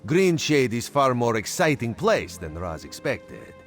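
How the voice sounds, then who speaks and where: An actor playing a sly, genial cat-folk agent in a video game, speaking in a measured, theatrical way. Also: male voice, medium pitch, big pitch swings, soft background music. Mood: genial, intrigued. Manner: speaks of himself in third person, measured and theatrical. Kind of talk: video game character dialogue.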